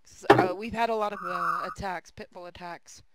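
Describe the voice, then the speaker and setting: A woman's voice: on the low side, steady tone, moderate pace, very noisy. A woman hosting a live stream, chatting casually with her viewers and co-host.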